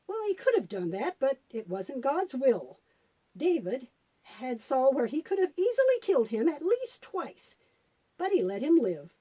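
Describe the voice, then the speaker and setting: A woman's voice: sits high, big pitch swings, measured pace. A woman voicing a patient grandmother puppet who explains and tells Bible stories to children. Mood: patient, instructive. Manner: explanatory, patient, gently instructive.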